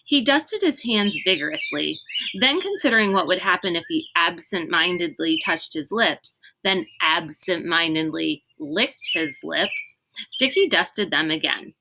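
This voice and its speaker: A woman reads a children's novel aloud in an animated, expressive storytelling style with clear emphasis. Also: on the low side, unhurried, noisy background. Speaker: read-aloud reader.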